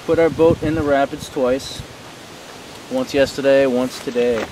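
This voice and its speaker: A man's voice: mid-range voice, steady tone, unhurried, recorded from a distance. A man in his thirties talking casually as an outdoor vlogger, picked up by a camera microphone at a wooded riverside. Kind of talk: casual trip vlog talk. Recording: windy, noisy outdoor camcorder sound.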